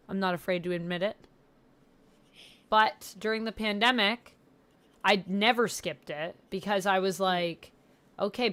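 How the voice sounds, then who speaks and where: A woman's voice: mid-range voice, a bit bright. A young woman, a bit sniffly, chatting casually and telling rambling stories while she colors on a livestream. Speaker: YouTuber host.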